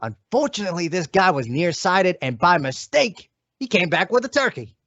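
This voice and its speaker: A man's voice: sits high, sing-song, moderate pace, a bit bright, no room sound, highs cut off, exaggerated voice. A man playing a slangy, self-important freeloading boyfriend who bluffs and stalls, in an old-time radio comedy. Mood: pompous.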